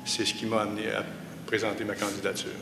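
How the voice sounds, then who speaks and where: A man's voice: on the low side, level tone, quick pace. Middle-aged male candidate, matter-of-fact, dry humor, roomy hall with audible background noise. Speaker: municipal candidate. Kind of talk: debate opening statement.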